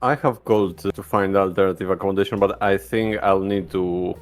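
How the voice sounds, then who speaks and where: A man playing a tabletop roleplaying game, speaking haltingly as he searches for words. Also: low-pitched, steady tone, faint music behind.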